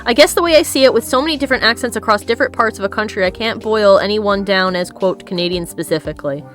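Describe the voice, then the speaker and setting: A woman's voice: mid-range voice, fast talker, faint music behind. A woman hosting a live stream, chatting casually, reading comments from chat aloud and laughing often. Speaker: streamer host.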